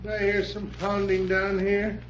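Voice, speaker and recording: An actor playing a frail, ailing, betrayed husband who stammers and gasps, in old-time radio drama style. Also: male voice, sits high, monotone, a little hiss or hum. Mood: shocked, indignant. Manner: halting, stammering, gasping. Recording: dull old radio recording.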